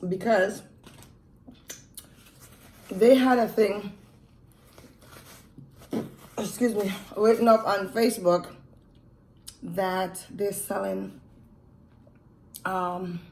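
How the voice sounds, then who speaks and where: Slow-talking woman with Jamaican-Québécois accent. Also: mid-range voice, steady tone, a little mellow, reverberant, a little hiss or hum, low-quality recording. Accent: Jamaican and Quebec French mix. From Jamaica, raised in Montreal. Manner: slow, chatty, pauses to eat. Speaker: mukbang vlogger. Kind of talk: casual eating vlog chat.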